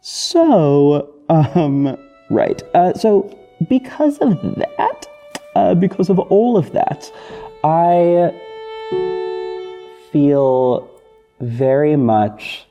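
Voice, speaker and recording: A man hosting a casual, unscripted podcast update, talking in a rambling, halting and effusive way. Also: on the high side, big pitch swings, dark tone. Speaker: podcast host and audio drama creator. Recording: dull muffled sound with music bed. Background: backing music.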